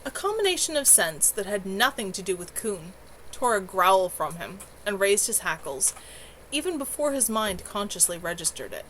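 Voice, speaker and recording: A woman's voice: medium pitch, sing-song, moderate pace, a bit bright, low-quality recording. Woman reading a story, audible background noise. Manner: amateur, unpolished narrative delivery. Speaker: author reading own novel aloud on a livestream. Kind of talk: live novel reading with casual asides. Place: at home.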